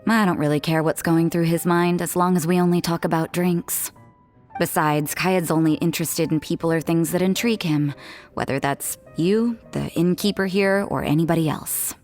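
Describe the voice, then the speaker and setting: A woman voicing a low, aloof young nun. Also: steady tone, normal speed, darkish tone, natural voice. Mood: dry. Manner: dry, blunt and unbothered. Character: cool, aloof young nun who drinks. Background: faint background music.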